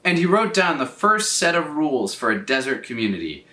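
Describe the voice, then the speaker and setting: Animated male storyteller in an echoey room. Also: a little high, brisk. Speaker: storyteller reading aloud for children. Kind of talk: expressive scripted children's story narration. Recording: roomy echo, distant from mic.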